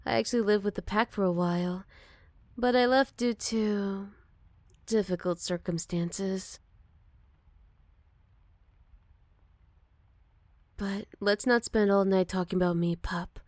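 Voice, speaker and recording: Motherly she-wolf, played by a woman, older, protective, tender and unhurried. Medium pitch, intimate dry ASMR roleplay delivery. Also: steady tone, no echo, natural voice. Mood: gentle, curious. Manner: soft, caring, unhurried, slipping into whispers. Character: gentle motherly older female werewolf, protective and wistful. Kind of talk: ASMR roleplay, intimate one-sided dialogue to listener.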